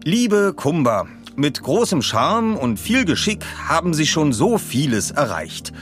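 A flowery, ceremonious speechmaker from an audio story, acted out by a male narrator. The voice is pitched up and swings widely, gushing formally, over music. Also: sing-song, measured pace, a bit bright. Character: formal dignitary reading out a flowery ceremonial thank-you speech.

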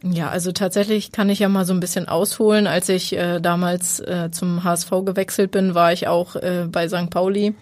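A woman football player answering interview questions, measured and hesitant with fillers. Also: on the low side, flat delivery, darkish tone, no room sound. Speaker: women's football player, guest.

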